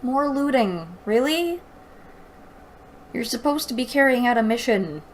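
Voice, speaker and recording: A woman's voice: a little high, animated, a little slow, a little hiss or hum. A woman reading a story aloud and breaking in with sarcastic, exasperated commentary. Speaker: host reading and critiquing a fantasy novel aloud.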